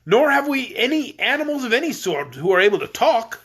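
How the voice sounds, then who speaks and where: A reader voicing a courteous king in a storybook, speaking in a measured, polite way. His voice stays plain and close to the reader's own. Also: mid-range voice, very animated, fairly bright tone, husky, pitched above the performer's own voice.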